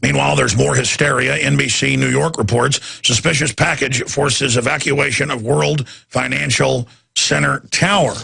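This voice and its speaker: A hoarse, raspy man hosting a radio talk show, straining his voice as he rants in indignant political commentary from a studio. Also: medium pitch, steady tone, normal speed, few pauses, pushed and bright, dry recording. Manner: ranting, indignant.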